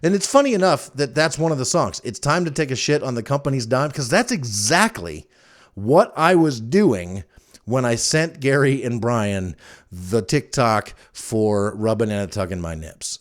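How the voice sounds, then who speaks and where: A male podcast host talks casually and alone, in a medium-pitched voice. He rambles along in a frank, joking manner, as if thinking out loud to his listeners. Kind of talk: casual solo podcast talk.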